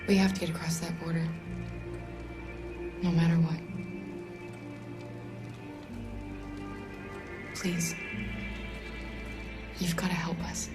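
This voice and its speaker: Game role: earnest young female android, fiercely protective played by a woman, soft lowish delivery over music. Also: level tone, unhurried, natural voice. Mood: pleading. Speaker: video game actor. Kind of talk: naturalistic cinematic game acting.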